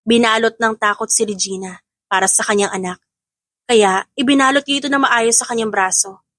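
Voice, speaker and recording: A woman's voice: a little high, steady tone, brisk, fairly bright tone, no room sound. A woman reading scripted dramatic narration as an audiobook narrator.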